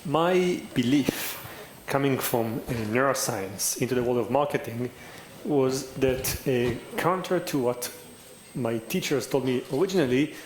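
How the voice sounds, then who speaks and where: A man, a neuroscientist on a conference panel, explaining his ideas in a fluent, semi-casual way. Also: medium pitch. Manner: fluent, explanatory, builds points in lists. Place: conference hall. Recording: echoey hall with faint background noise.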